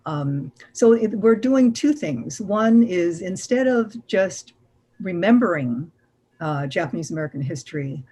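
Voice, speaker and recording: A woman's voice: on the low side, expressive, measured pace, dark tone, breathy edge. A woman curator speaking on a panel in an earnest way, with frequent fillers. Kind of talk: panel discussion.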